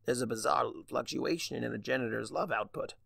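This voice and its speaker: A man's voice: medium pitch, brisk, creaky, fairly bright tone, no room sound, clean studio sound. A man voicing a puzzled, eager young male changeling trainee in a story reading, earnest and inquisitive.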